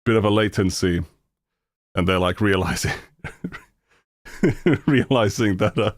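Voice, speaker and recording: Finnish guy streaming, low voice, super dry sound, rambles with lots of ums, swears a bunch. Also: dry recording. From Finland. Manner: rambling, halting with many fillers, blunt and profane. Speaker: streamer reacting to videos. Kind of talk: casual reaction commentary.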